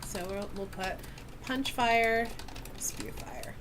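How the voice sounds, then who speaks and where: A woman's voice: medium pitch, very animated, slow, noisy background. A woman running a tabletop role-playing game, prompting players with questions. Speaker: game master. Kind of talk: casual tabletop role-play chat.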